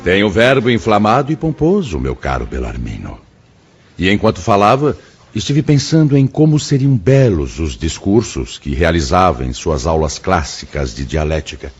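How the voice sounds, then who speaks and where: An actor with a Brazilian accent playing a venerable, benevolent spirit mentor in a radio drama, speaking in a measured, formal way. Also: male voice, sits low, sing-song, husky, exaggerated voice. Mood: kindly approving. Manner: measured, formal, gently admonishing. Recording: dull lo-fi audio.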